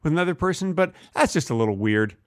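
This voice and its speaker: A man's voice: fairly high pitch, expressive, fast, no room sound, studio quality. A man co-hosting a comedy podcast, telling tall tales in an insistent, mock-earnest way during improvised banter.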